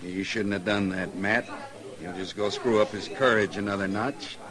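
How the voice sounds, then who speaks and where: A middle-aged ex-lawman gunfighter, acted by a man, with a fairly low American voice. He sounds weary and proud, in the style of an old-time radio western. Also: fairly even intonation, moderate pace, crowd noise, lightly acted. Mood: weary, stubborn.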